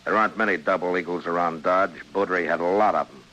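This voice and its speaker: Frontier marshal, old radio western: American, very low forceful voice, unflappable, sardonic, deliberate. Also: male voice, fairly even intonation, poor audio. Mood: stern, challenging. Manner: deliberate, laconic, dry. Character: stern, unflappable middle-aged frontier marshal, dryly sardonic.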